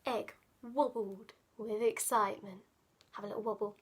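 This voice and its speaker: A woman reading a children's picture book aloud, dramatic and playful, often dropping into hushed asides. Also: medium pitch, steady tone, normal speed, husky. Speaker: children's storyteller.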